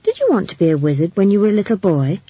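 A woman's voice: medium pitch, huge pitch swings, very fast, mellow, slightly rough, no echo, highs cut off. A woman voicing a blunt, curious little village girl in an audiobook, speaking matter-of-factly. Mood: frank, curious. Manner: matter-of-fact, conversational. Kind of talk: audiobook character voice.

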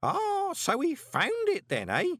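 A man voicing an excitable old male postal clerk in a high, quavering voice. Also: normal speed, no echo, studio quality, exaggerated voice. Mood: excited.

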